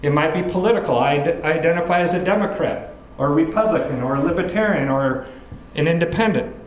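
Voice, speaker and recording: Man, pastor preaching, earnest and measured, slightly high voice, echoey room. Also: a little hiss or hum. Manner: earnest, measured teaching with lists. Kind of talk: expository sermon.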